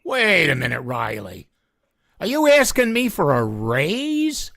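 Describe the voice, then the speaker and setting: An actor playing a gruff, stingy older store boss in a vintage-style radio comedy, talking curtly and blustering. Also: male voice, a little high, wildly swooping pitch, slow, a bit bright, no echo, clean studio sound, full character voice. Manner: curt, blustering.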